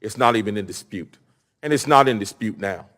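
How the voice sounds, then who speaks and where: A man giving formal public testimony as a hearing witness, speaking in an emphatic, deliberate way. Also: on the low side, fairly bright tone, big-room sound. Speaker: hearing witness, state official.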